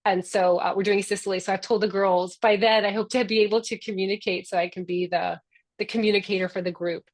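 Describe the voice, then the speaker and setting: A woman's voice: medium pitch, fairly even intonation, fast, no room sound. A middle-aged woman whose first language is Spanish, the founder hosting a live online orientation in an upbeat, affectionate, chatty way.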